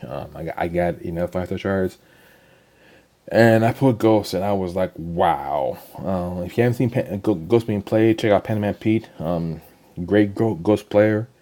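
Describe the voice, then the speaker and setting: Young male gaming vlogger, deep mellow voice, hoarse with a cold, halting and rambling. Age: young adult. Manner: rambling, halting, many ums and repeated words. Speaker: gaming channel host. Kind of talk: casual game account walkthrough.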